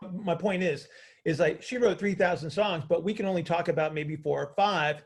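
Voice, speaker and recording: A man's voice: a little high, creaky. A man coaching on a group call in a conversational, teacherly way, often checking understanding with questions and repeating phrases for emphasis.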